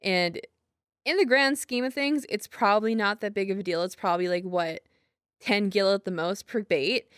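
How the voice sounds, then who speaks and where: A woman's voice: medium pitch, normal speed, a bit bright, clear, resonant tone, no echo, clean studio sound. A young woman in an intimate roleplay monologue, rambling casually as a girlfriend in a giggly, hesitant, self-deprecating way. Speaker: audio roleplay performer as girlfriend.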